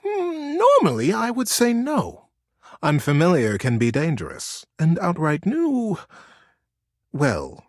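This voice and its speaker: A man voicing an aged female animal leader, grave and commanding, with deliberate, weighty speech in a storybook tale. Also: fairly high pitch, wildly swooping pitch, no room sound, clean studio sound, pitched above the performer's own voice, exaggerated voice.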